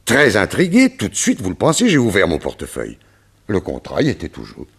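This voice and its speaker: A man playing a grave, troubled gentleman in a detective radio play, telling his account in a formal, theatrical way. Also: on the low side, wildly swooping pitch, fairly quick, creaky, husky, heavily acted. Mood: puzzled. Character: grave, troubled gentleman recounting a strange mishap.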